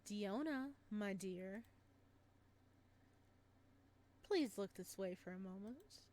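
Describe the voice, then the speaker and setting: A woman voicing a gentle, courteous male florist with a soft, polite manner, over faint music. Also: mid-range voice, animated, measured pace, natural voice. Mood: tender.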